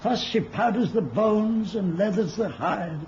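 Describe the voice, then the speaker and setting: An actor playing an ancient wizard and mentor in a radio play, speaking in a poetic, archaic and wistful manner. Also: male voice, high voice, a little slow, a little mellow. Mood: wistful, grand. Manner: poetic, grandly archaic, chuckling speech. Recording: dull, noisy old radio recording.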